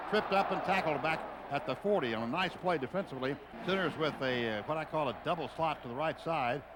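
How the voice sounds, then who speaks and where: A man doing live radio play-by-play of American football in short, clipped running phrases. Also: fairly high pitch. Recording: very noisy stadium radio broadcast.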